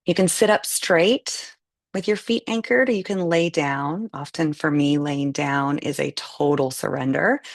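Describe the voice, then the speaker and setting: Female meditation guide, low and gravelly, soothing and unhurried, dry close sound. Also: dry recording. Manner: slow, soothing, gentle instructions.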